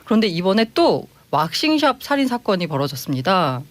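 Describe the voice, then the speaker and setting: A woman's voice: mid-range voice, sing-song, fairly quick, no room sound. A woman reporter on a radio panel who explains step by step, posing questions and then answering them.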